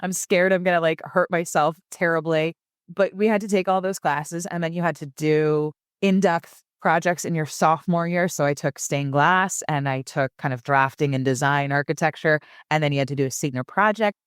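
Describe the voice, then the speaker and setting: A podcast guest, a woman from San Francisco and Germany, chats eagerly and often doubles a word as she begins a thought. Her voice is smooth and clear. The sound is close and dry, with no room echo. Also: deep voice, moderate pace. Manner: enthusiastic, often repeats words as she starts a phrase. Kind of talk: casual interview talk.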